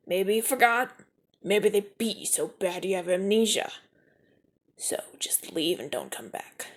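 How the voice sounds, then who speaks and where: A man voicing a suave, smooth-talking mafia enforcer in an ASMR audio roleplay, teasing and dropping into soft whispers. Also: sits high. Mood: stern. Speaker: mafia man rescuing a newcomer.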